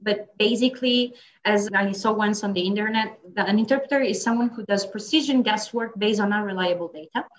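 A woman's voice: mid-range voice, brisk, few pauses, rough recording. A young woman whose first language is Italian speaks with an Italian accent. She talks casually in a podcast interview, chatty, with many fillers and restarts, laughing often. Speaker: guest interpreter and translator.

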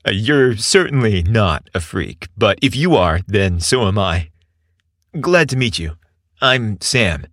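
Man playing bashful young man, soft and casual, friendly, awkward, audiobook romance, dry recording. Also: lowish voice, lively intonation, slow pace, no room sound, exaggerated voice.

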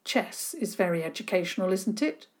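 A woman's voice: mid-range voice, expressive, normal speed, a little mellow, lightly acted. Woman voicing a firm, sensible boy. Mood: persuasive. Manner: firm and bossy.

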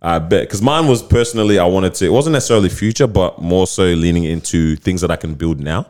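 Casting: young male podcast host, very low voice, relaxed and slangy. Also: fast. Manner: laid-back slangy talk, says bruv and fam. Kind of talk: casual chat.

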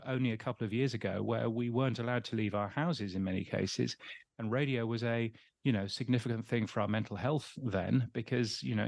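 A middle-aged man with an English accent, answering interview questions in a casual, halting way with many ums and restarts. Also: mid-range voice, gravelly, darkish tone, dry recording. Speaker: interview guest.

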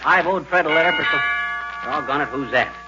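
A man's voice: fairly high pitch, brisk, loud music behind the voice, natural voice. A man acting a blustering, folksy, bumbling husband with an American accent who spins tall tales ungrammatically, in 1940s radio comedy style. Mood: grumpy. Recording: dull, noisy 1940s radio recording.

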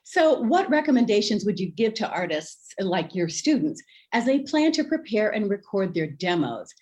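A woman's voice: medium pitch, sing-song, moderate pace, darkish tone. A woman hosting a podcast, interviewing a guest and giving brief encouraging affirmations. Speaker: podcast host.

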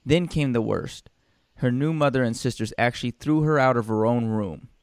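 A man reading a storybook and breaking off into casual, rambling, deadpan joking asides. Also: mid-range voice, normal speed, clean tone, dry recording, clean studio sound. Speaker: storybook reader and comedian.

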